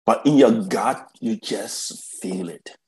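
Slow, deliberate man. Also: on the low side, darkish tone. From Liberia. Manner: slow, emphatic, repeats words when starting a thought. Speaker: guest. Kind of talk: reflective conversational storytelling.

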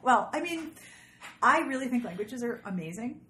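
A woman's voice: fairly high pitch, expressive, moderate pace, bright, forceful tone. Effusive woman podcaster in an echoey room. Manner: effusive, exclamatory, swears casually. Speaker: podcast host and interviewer. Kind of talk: casual interview chat. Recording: echoey room with background noise.

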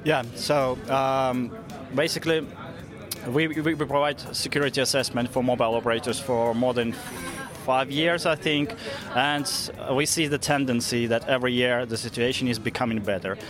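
A man from Russia with a Russian accent, giving measured, explanatory interview answers in a non-native language, often pausing with "let's say". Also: mid-range voice, fairly even intonation, crowd noise. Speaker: interviewed security researcher. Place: conference event venue.

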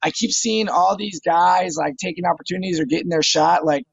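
A man's voice: high voice, brisk, creaky, breathy edge, no echo, highs cut off. A man in his thirties, a fighter talking casually in an interview, eager and often repeating words as he starts a phrase. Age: 30s. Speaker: MMA fighter guest.

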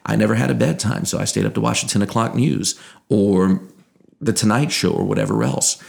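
A man's voice: lowish voice, brisk, creaky. A man in his thirties from Louisiana with an American accent and a faint Southern twang, telling stories conversationally with precise, broadcaster-like enunciation. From Louisiana, United States. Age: 30s. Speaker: interview guest, sports broadcaster. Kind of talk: conversational storytelling.

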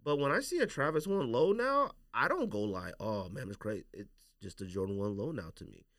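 A man's voice: medium pitch, sing-song, a little fast, a bit bright, no echo, studio quality. A man hosting a casual podcast chat, speaking in a slangy, opinionated way. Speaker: podcast host.

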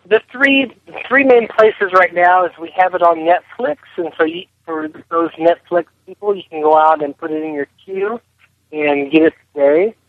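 A man's voice: high voice, normal speed, no room sound. A man being interviewed as a film director, answering conversationally over a telephone line. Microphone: phone.

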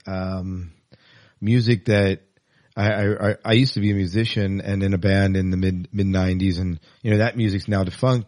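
Low-voiced man hosting a casual podcast. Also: a little mellow, no echo, studio quality. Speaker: podcast host, interviewer. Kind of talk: casual conversational interview.

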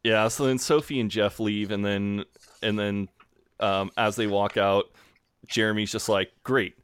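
A man co-hosting a podcast, casually recapping a TV episode in an animated way and acting out the characters' lines. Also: on the low side, measured pace, smooth, dry recording, studio quality. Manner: animated storytelling, acts out quoted lines in funny voices. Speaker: podcast co-host.

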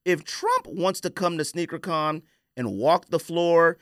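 A man's voice: on the high side, steady tone, unhurried, fairly bright tone, no echo, studio quality. A man hosting a podcast, telling stories in a casual, colloquial, opinionated way and acting out quoted exchanges. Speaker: podcast host.

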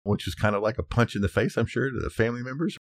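A man's voice: medium pitch, fast talker, dry recording, clean studio sound. A middle-aged man co-hosting a podcast, casually sharing facts in an informal, loosely structured way. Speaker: podcast co-host.

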